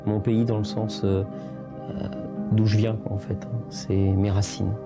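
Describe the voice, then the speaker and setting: A man's voice: on the low side, flat delivery, unhurried, loud music behind the voice. A middle-aged man born in Portugal, speaking reflectively in an interview with many hesitations and restarts. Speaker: documentary interviewee.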